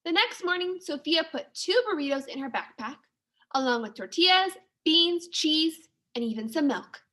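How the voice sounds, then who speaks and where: A woman teacher reading a children's storybook aloud to young pupils in an expressive manner. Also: high-pitched, moderate pace. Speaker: second-grade teacher.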